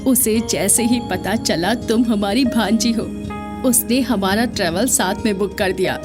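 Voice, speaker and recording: Audio drama role: fond middle-aged aunt, indulgent, medium pitch, played by an actress, music clearly underneath. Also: female voice, unhurried, lightly acted. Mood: affectionate. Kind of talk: Hindi audio drama acting.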